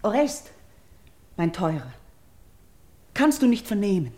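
An actress playing a noble priestess and tender, pleading sister in a classical verse radio drama, solemn and breathy, in measured declamation. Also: female voice, mid-range voice, big pitch swings, warm tone. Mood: pleading, earnest.

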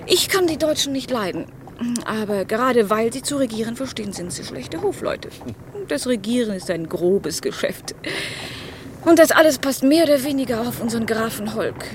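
An actress playing a witty, sharp-tongued lady-in-waiting, haughty, ironic and articulate, in a German period radio play. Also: a little high, animated, normal speed, background noise, lightly acted. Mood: mocking, amused. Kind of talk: German radio drama acting, period piece.

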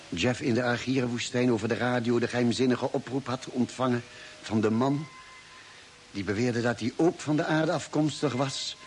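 A man's voice: mid-range voice, slight background noise, natural voice. A man acting in a radio play as a space crewman, narrating the expedition in a scripted dramatic style. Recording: old radio broadcast. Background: music.

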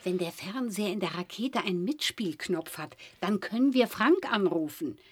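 A woman's voice: medium pitch, expressive, normal speed, husky. An actress playing a naive, dreamy, stranded wife and mother in a science-fiction radio drama, airy and earnest. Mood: eager.

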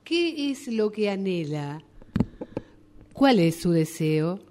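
Radio drama: actress as probing, clinical female psychiatrist, unhurried, mid-pitched, slightly noisy recording. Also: very animated, mellow.

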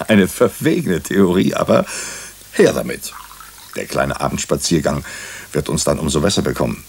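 A doctor who investigates on the side in a radio drama. His voice is extremely deep and husky. He speaks in a dry, self-mocking, ironic way. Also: big pitch swings, moderate pace, long pauses, heavily acted. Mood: wry, bantering. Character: male doctor, wry amateur sleuth, narrating his own story. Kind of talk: radio drama dialogue and first-person narration.

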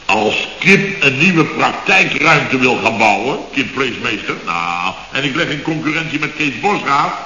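An actor playing a grumbling, exasperated middle-aged contractor who rants sarcastically in clipped half-sentences in a radio comedy. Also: male voice, lively intonation, measured pace, few pauses, sharp tone, echoey room, big-room sound, slight background noise. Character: grumbling, exasperated male building contractor, long-winded storyteller. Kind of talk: 1970s radio comedy acting. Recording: boomy, muffled old radio recording.

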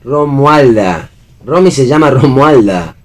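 An Argentine actor playing a wry, bantering husband, fond but sceptical, in a radio play reading. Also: male voice, medium pitch, lively intonation, slow. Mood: dry, ironic.

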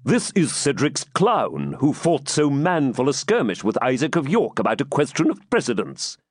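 A man's voice: mid-range voice, wildly swooping pitch, moderate pace, husky, no room sound, clean studio sound, voice pushed up. A man reading a haughty, scornful Norman knight in a lightly raised voice.

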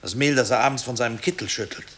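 An actor playing an anguished, haunted husband in intense radio-drama dialogue. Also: male voice, mid-range voice, fast, fairly bright tone, natural voice. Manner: agitated, intense. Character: anguished husband obsessed with an executed comrade. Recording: hissy old radio recording.

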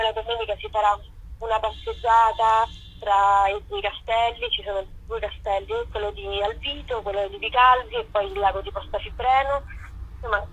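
A woman's voice: on the high side, a bit bright, a little hiss or hum. A woman being interviewed on radio over the telephone, talking conversationally and searching a little for her words. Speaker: festival co-director, interview guest. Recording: dull, lo-fi telephone line.

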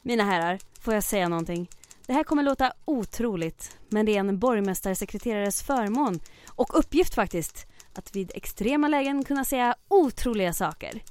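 An actress playing a quick-witted, self-assured secretary in an audio drama, polite but assertive. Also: female voice, medium pitch, expressive, a little mellow, close to an everyday voice.

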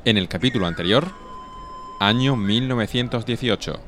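A man's voice: lowish voice, moderate pace, fairly bright tone, soft background music. A man reading scripted announcer narration for a radio drama.

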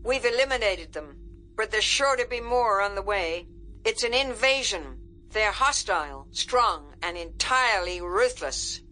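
A woman voicing a gruff, confident military general in an audiobook, blunt and harsh, with slangy commands. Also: medium pitch, expressive, unhurried, pushed and bright, pitched above the performer's own voice. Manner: barking, blunt, folksy slang.

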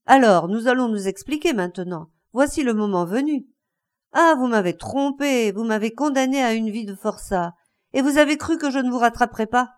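Woman acting out a frantic, enraged betrayed husband, high-pitched. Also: animated, normal speed, natural voice. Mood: bitter, menacing. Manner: breathless, insistent, vehement outbursts.

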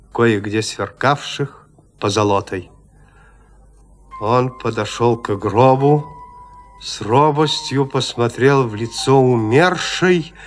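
A man narrating a literary audio drama with measured, suspenseful, theatrical phrasing. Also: mid-range voice.

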